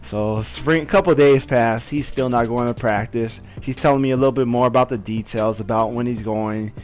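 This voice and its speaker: A young man from Southern California telling a casual story to the camera, animated and full of "like" fillers, his voice leaping up high when he relives moments. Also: moderate pace, soft background music. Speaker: storyteller vlogger.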